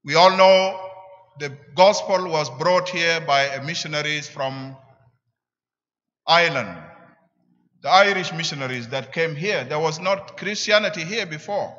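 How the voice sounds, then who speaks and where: A middle-aged man with a South Sudanese accent preaches a sermon deliberately, in short emphatic phrases, at a church lectern. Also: on the high side, a bit bright. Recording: echoing church, slightly dull sound.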